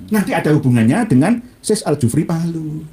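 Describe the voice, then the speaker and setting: Male cleric, Javanese Indonesian accent, quick and mellow-toned. Satirical, informal lecturing with rhetorical questions. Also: a little high, animated. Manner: satirical, uses rhetorical questions, mixes Arabic quotes. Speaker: Islamic cleric giving a lecture. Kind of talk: informal religious lecture reading and critiquing a book.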